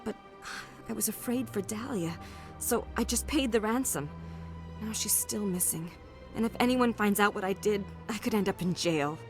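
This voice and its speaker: An actress playing a wealthy, anxious diplomatic emissary in a video game, speaking in a composed, formal, measured way. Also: female voice, mid-range voice, music in the background, natural voice. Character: wealthy, anxious alien diplomatic emissary pleading for her sister. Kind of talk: video game dialogue acting.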